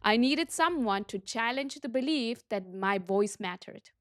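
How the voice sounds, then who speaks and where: Slow, earnest woman coach. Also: fairly high pitch, lively intonation. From Germany. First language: Tamil. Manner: earnest, emphatic, repeats for stress. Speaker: business coach. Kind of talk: motivational talk to camera.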